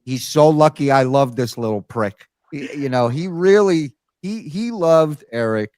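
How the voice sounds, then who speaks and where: A man's voice: on the high side, expressive, a little slow, a little mellow, no room sound. Dry, close-sounding man hosting a talk show. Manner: profane, blunt. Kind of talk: casual conversational storytelling.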